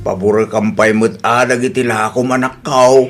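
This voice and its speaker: A man's voice: medium pitch, fairly even intonation, normal speed, loud music behind the voice, close to an everyday voice. A man playing a nagging, suspicious older father figure in a comedy drama, with an Ilocano accent and a questioning manner.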